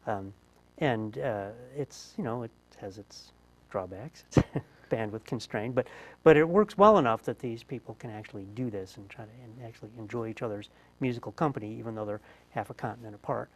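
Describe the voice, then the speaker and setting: A man's voice: lowish voice, brisk, few pauses, poor audio. Conversational male interview host. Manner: conversational, thinking aloud with fillers.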